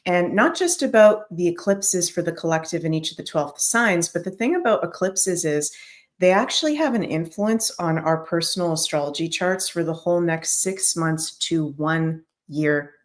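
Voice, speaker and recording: A woman's voice: on the low side, animated, moderate pace. A woman hosting a daily astrology show, chatting casually to her viewers as "you guys", her voice a little scratchy today. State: slightly scratchy voice.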